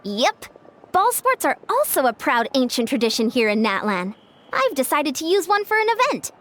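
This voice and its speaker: A woman voicing a young, earnest and diligent sports coach in a video game, brisk and matter-of-fact. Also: sits high, sing-song, background noise. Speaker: coach and commentator. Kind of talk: video game character acting.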